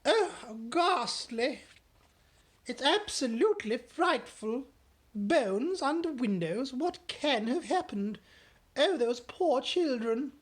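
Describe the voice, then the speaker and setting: A refined queen from a storybook, high and plummy, drawing out her exclamations, as a woman reader puts her on. Her voice swoops widely and rings out loudly. She is gracious but quick to be shaken. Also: animated, exaggerated voice. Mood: dismayed.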